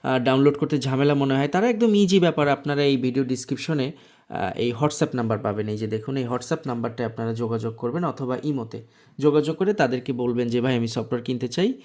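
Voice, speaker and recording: A man with a Bangladeshi accent presenting an informal software tutorial, explaining things step by step. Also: mid-range voice, fast talker. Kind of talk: informal screen tutorial narration.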